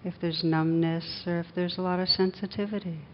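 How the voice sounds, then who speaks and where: A woman meditation teacher speaks in a lowish, fairly even voice. She guides gently and soothingly, leaving long pauses between short phrases. The recording is a little dull, with a faint rumble of noise behind her.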